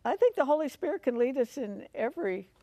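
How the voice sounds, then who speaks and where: Older female talk show host, American, higher-pitched, dry close studio sound. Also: very animated, fairly quick, warm tone, no echo, muffled recording. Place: furnished living-room style TV studio set.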